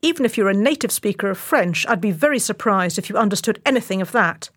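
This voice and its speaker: A woman reporter narrating a scripted radio feature in a clear, explanatory way. Her voice sits at an everyday middle pitch, steady and composed. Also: fast talker.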